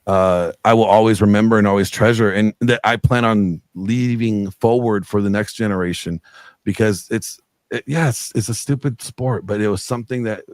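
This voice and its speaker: A man's voice: on the low side, fairly even intonation, moderate pace, dry recording, studio quality. Earnest rambling man on a video call. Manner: earnest, rambling with many fillers. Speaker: guest. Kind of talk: casual interview chat.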